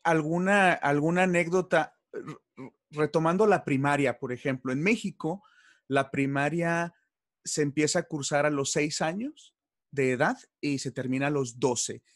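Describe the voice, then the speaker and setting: A Mexican man hosts a conversational interview in a slightly high voice. His phrasing is polite and a touch hesitant. The sound is dry, without room reflections. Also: normal speed, dry recording. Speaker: interview host.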